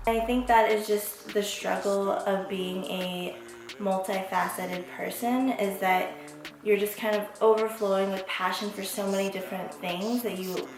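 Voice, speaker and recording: A woman in her twenties from Las Vegas, hosting her own vlog in a candid, reflective monologue with casual swearing. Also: medium pitch. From Las Vegas, USA. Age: 20s. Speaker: vlog host. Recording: echoey room with background music.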